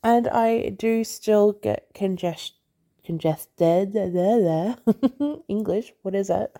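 A woman's voice: medium pitch, drawn-out words, dark tone. Stuffy-nosed woman chatting casually. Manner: chatty, laughs often, swears casually. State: congested, stuffy nose. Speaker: movie review podcast host.

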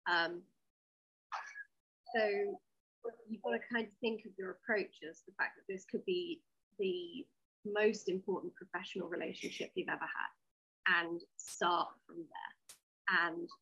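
Husky woman in conversation. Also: mid-range voice, a bit bright, muffled recording. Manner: candid, opinionated. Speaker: interview guest, sci-fi writer. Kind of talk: conversational interview, explaining.

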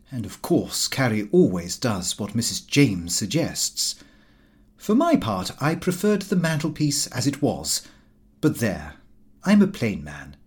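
A man reading a comic diary novel as an audiobook, with fussy, indignant storytelling. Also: medium pitch. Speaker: audiobook reader.

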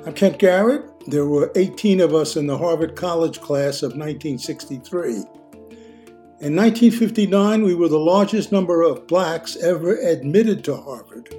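A man's voice: on the high side, normal speed, dark tone, soft background music. An older American man reading a scripted podcast introduction as its host.

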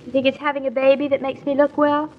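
An actress playing a naive, talkative young expectant wife in an old-time radio drama, artless and questioning. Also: female voice, sits high, level tone, fast talker, slight background noise, natural voice. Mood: breezy. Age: young adult. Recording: dull, lo-fi old radio recording.

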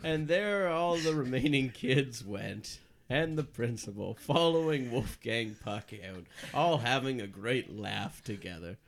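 A man's voice: medium pitch, expressive, measured pace, a little hiss or hum. A man hosting a comedy podcast, telling a tongue-in-cheek story and running the plugs. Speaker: podcast host.

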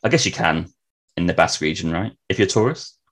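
A man's voice: sits low, lively intonation, fast talker, fairly bright tone, breathy edge. A man who is a native English speaker, hosting a casual travel interview in a chatty way with frequent tag questions. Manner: chatty, often tacks tag questions onto remarks. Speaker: podcast host.

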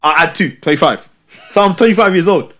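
A young man in his twenties, a podcast co-host chatting casually and loudly, laughing often and repeating fillers. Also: high-pitched, animated, a little fast. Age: 20s. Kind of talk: casual chat.

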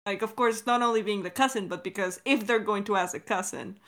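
Bright-voiced young woman, chatting casually. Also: medium pitch, fairly quick. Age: young adult. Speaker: podcast creator, co-host of a Q&A. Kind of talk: casual chat. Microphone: recorded over Discord call. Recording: online voice call.

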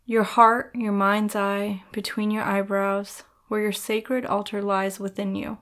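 A woman narrating a guided meditation in a soothing, measured manner, leaving gentle pauses between instructions. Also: mid-range voice, flat delivery. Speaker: podcast host and yoga nidra guide.

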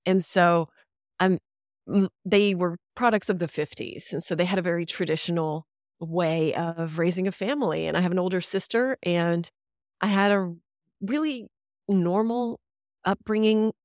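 A woman's voice: lowish voice, steady tone, moderate pace, a little mellow, dry recording, clean studio sound. A woman in her fifties from Central Texas, a longtime radio voice, telling her life story in a reflective, chatty way as a podcast guest. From Central Texas, USA. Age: 50s. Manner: reflective, chatty, with easy laughs. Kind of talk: casual interview storytelling.

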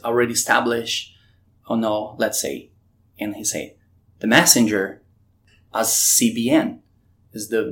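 A man from Brazil with a Brazilian Portuguese accent, telling his missionary testimony in an earnest way and acting out remembered conversations with many restarts. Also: on the low side. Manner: earnest, retells dialogue with frequent restarts. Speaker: missionary guest. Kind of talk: storytelling testimony.

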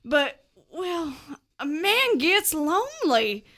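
A woman's voice: very high-pitched, sing-song, takes it slowly, bright, forceful tone, pitched above the performer's own voice, exaggerated voice. A woman voicing a big, tender, hesitant older rancher in a story read aloud.

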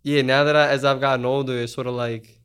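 A man's voice: mid-range voice, level tone, quick pace, fairly bright tone, clear, resonant tone. A man in his early twenties chatting casually as a podcast host, candid and slangy with frequent swearing. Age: early 20s. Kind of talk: casual conversational interview.

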